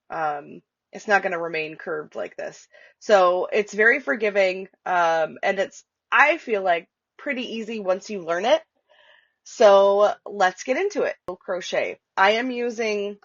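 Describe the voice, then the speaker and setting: A woman's voice: medium pitch, no room sound, highs cut off. A woman with a stuffy, congested voice hosting a casual crochet tutorial at home, chatty and full of fillers. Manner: chatty, lots of fillers and restarts. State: stuffy, nasal from a cold. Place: home with dogs walking around. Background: dog nails clicking on the floor.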